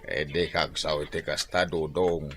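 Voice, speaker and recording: A man's voice: very deep voice, fairly even intonation, unhurried, over music. An actor with an Ilocano accent playing a teasing, middle-aged male confidant in a radio drama. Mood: wry. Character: older male confidant, slow, teasing, wry.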